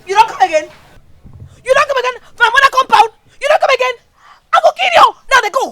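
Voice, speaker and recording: An actress playing Ikwo, a hot-tempered young Nigerian woman who shouts threats. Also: high-pitched, very animated, fast talker, heavily acted. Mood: furious.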